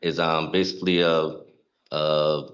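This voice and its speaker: A man from South Carolina with a Gullah Geechee accent, chatting as a guest in a laid-back, halting way. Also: extremely low pitch, level tone, fairly bright tone, muffled recording. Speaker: guest streamer and cook. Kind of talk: casual interview chat.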